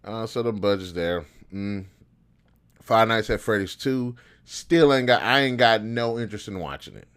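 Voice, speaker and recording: Low-pitched man, casual slangy commentary. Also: normal speed, a bit bright, clean tone. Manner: slangy, informal, thinking aloud. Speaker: host of a show on video game movies. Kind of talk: casual off-the-cuff commentary, opinionated review.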